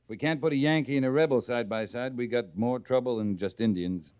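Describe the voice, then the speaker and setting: An actor playing a steady, self-assured frontier cavalry captain in an old radio western, laconic and dryly understated. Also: male voice, medium pitch, brisk, clean tone, dry recording, close to an everyday voice. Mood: firm, wry. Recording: muffled vintage radio recording.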